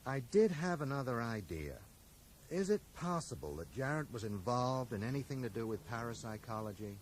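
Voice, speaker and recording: A man's voice: mid-range voice, very animated, normal speed, natural voice. An actor playing an earnest, polite and persistent man who questions people tactfully in a radio drama. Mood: concerned, curious. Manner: tactful but probing. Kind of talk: 1980s radio drama acting. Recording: noisy 1980s radio broadcast recording.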